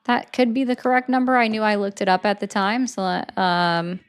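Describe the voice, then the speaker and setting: A woman's voice: medium pitch, normal speed, clean tone. A woman hosting a livestream call-in show, debating callers with pointed, sarcastic, repeated questions. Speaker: livestream host taking callers.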